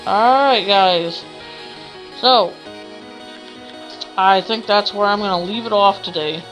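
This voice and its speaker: Bright, forceful young-sounding woman gamer. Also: medium pitch, slow pace, soft background music, low-quality recording. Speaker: gaming channel host. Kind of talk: reading visual novel narration aloud for a let's play.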